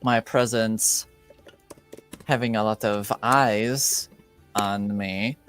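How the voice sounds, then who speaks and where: A man's voice: fairly low pitch, fairly even intonation, a bit bright, faint music behind. A man improvising in a roleplaying game, speaking in formal, hesitant phrases. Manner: formal, measured wording with hesitations. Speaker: tabletop roleplaying player. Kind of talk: improvised character dialogue with narration.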